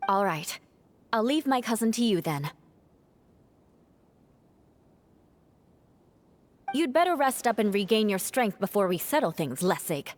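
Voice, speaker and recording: A woman voicing a poised, thoughtful young woman in a video game, with a measured, musing manner. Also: medium pitch, very animated, a little hiss or hum, close to an everyday voice. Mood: considerate. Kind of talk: video game character voice acting.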